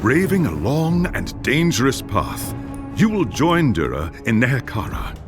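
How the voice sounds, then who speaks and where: A man narrates an epic game story in a grave, storytelling way. His pitch sweeps widely from line to line, and music plays underneath. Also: huge pitch swings, measured pace.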